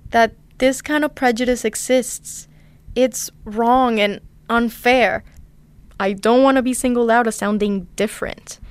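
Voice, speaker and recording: A woman's voice: on the high side, smooth. A young woman from Panama, a native Spanish speaker with a slight accent, narrating a documentary in the first person as its reporter.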